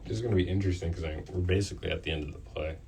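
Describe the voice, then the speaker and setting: A man's voice: deep voice, very fast, creaky, a little mellow. A man hosting a live interview on student radio. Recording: distant mic with faint noise.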